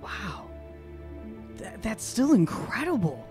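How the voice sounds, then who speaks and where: A woman playing a tabletop game, improvising casually in character with a halting, tentative delivery. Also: mid-range voice, big pitch swings. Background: background music.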